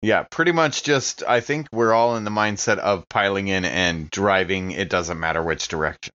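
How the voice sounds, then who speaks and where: A man's voice: deep voice, normal speed, a bit bright. Slightly muffled man narrating tabletop game action. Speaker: player in a tabletop roleplaying game. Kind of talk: casual narration of a character's actions.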